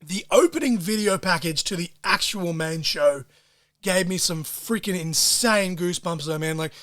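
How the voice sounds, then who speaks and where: A man hosting a solo podcast, talking in an excitable, profane way full of wrestling-fan slang. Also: high-pitched, normal speed. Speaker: podcast host.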